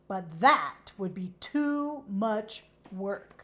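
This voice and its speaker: A woman's voice: mid-range voice, expressive, extremely slow, a bit bright. A woman voicing a droll, grumbly but lovable male horse in a storybook, complaining emphatically.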